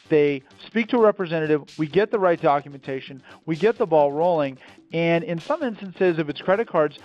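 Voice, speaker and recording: A man giving an emphatic, salesy pitch as a company founder on a promotional call, repeating phrases for effect. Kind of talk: promotional interview on a conference call.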